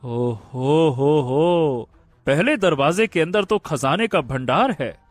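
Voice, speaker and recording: A man playing an excitable, kind-hearted young prince in a cartoon fairy tale, with theatrical drawn-out exclamations. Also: on the high side, no room sound, faint music behind. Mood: amazed delight. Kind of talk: animated Hindi moral-story cartoon dialogue.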